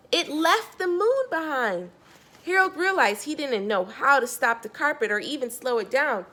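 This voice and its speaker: A woman's voice: on the high side, fairly bright tone. A woman reading a picture book aloud to young children, expressively, stressing key words and speaking directly to her listeners.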